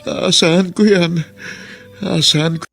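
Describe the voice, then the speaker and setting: A man's voice: sits high, fairly even intonation, unhurried, creaky, fairly bright tone, faint music behind, full character voice. An actor playing a tender, emotional husband and father who cannot walk, in a radio drama. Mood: longing. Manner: tender, pleading.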